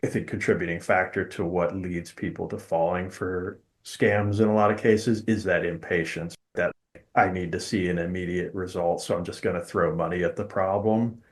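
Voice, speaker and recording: A man hosting a podcast in casual conversation, articulate and measured, with slightly formal phrasing. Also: low-pitched, steady tone. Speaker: podcast host.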